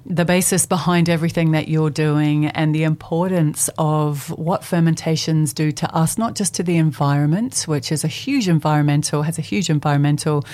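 A woman's voice: low voice, steady tone, moderate pace, few pauses, darkish tone. A woman hosting an interview show, asking long, rambling questions with fillers. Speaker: host. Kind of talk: casual interview.

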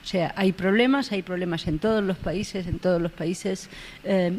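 Woman, lowish voice, thoughtful, keeps saying no? at the end, faint hum. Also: moderate pace, darkish tone. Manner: reflective, repeats with tag questions. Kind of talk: casual conversation.

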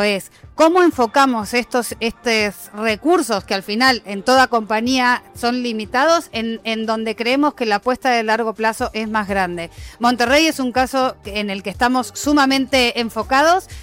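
Businesswoman, middle-aged, a bit high voice, steady with some "eh"s, faint music behind. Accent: Mexican Spanish with a foreign tinge. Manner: fluent, measured, with fillers and restarts. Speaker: airline sales director, guest. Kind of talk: business interview.

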